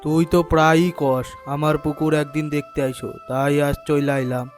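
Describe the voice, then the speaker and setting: A high-voiced, crafty old village headman from a cartoon, acted by a man. He talks in an East Bengali dialect, greedy, conspiratorial and full of his own importance, with music playing behind him. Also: steady tone, a little fast, lightly acted. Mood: nosy, needling. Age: older. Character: scheming older village headman, greedy and sly. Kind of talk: cartoon dialogue acting.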